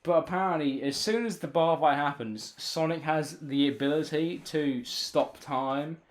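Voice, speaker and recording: A man's voice: on the high side, a little slow. A man chatting casually on a podcast, arguing his point insistently with plenty of swearing. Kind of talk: loud casual podcast banter.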